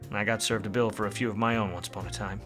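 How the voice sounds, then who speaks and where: A world-weary private eye played by a man in a 1940s-style noir radio drama. He is wry and slangy, with a lowish, forceful voice. Faint music plays underneath. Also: fairly even intonation, racing, close to an everyday voice. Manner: hard-boiled, wry, slangy. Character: world-weary wisecracking male 1940s private detective narrator. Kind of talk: film noir radio play acting.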